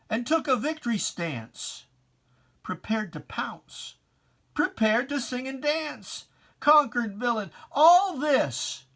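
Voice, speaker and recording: A person giving a dramatic reading of religious verse in a theatrical, deliberate, taunting manner with pointed pauses. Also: mid-range voice, fairly bright tone. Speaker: poetry reader.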